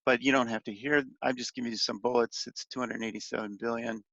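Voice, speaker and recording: A man giving an informal slide briefing as a policy advocate on a conference call, speaking haltingly and letting phrases trail off. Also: mid-range voice, steady tone, creaky, a bit bright. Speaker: industry association policy advocate briefing on legislation. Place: conference call, roomy indoor space. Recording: muffled, roomy conference-call audio.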